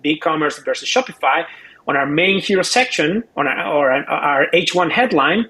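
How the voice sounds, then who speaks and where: Higher-voiced man explaining casually. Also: expressive, normal speed, pushed and bright, rough recording. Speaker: expert co-host. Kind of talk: casual expert commentary.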